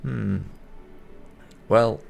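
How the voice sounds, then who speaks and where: Deep, gravelly man doing gaming commentary. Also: fairly even intonation, extremely slow, faint music behind. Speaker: gaming YouTuber, let's play host. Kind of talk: casual let's play commentary with light roleplay.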